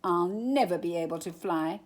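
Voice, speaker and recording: A woman voicing a small, sad young male elephant in a high, plaintive childlike voice for a storybook. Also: moderate pace, natural voice. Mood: dejected.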